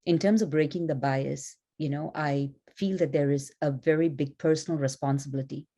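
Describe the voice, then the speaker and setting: A woman panelist who grew up in India, speaking in a thoughtful, measured way with frequent "you know", joining a panel over a video call. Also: low voice, steady tone, dark tone. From born in Malaysia, grew up in India. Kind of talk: panel discussion remarks.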